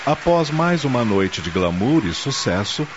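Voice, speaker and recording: A man's voice: medium pitch, big pitch swings, unhurried, highs cut off, heavy background noise. A man with a Brazilian accent narrating a scripted radio drama with dramatic storytelling delivery. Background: faint music.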